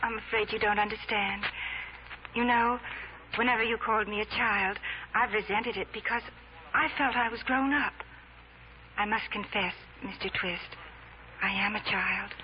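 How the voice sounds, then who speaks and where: A woman's voice: mid-range voice, steady tone, moderate pace, pauses often, natural voice. An actress playing an earnest, anxious young woman, a twin, hesitant and dramatic in 1940s radio drama style. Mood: wistful. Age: young adult. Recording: noisy, muffled old 1940s radio recording.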